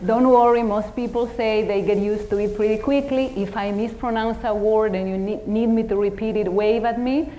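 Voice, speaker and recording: A woman's voice: medium pitch, fairly even intonation, moderate pace, few pauses, dark tone, poor audio. A middle-aged woman from the Dominican Republic with a Dominican Spanish accent, giving a humorous, self-deprecating motivational talk as keynote speaker.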